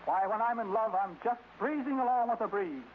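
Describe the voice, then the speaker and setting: Tinny old radio, high-voiced lovestruck fellow. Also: male voice, animated, brisk. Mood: gushing, dreamy. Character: dreamy, lovestruck young fellow, gushing and bashful. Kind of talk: 1930s radio advertisement skit. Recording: tinny, noisy 1930s radio recording.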